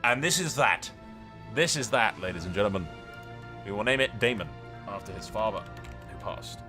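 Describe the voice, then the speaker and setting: A man's voice: lowish voice, lively intonation, moderate pace, pushed and bright, faint music behind. A man hosting gameplay commentary with roleplay, sweary and emphatic, rambling and given to theatrical declarations. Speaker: gaming content creator and host.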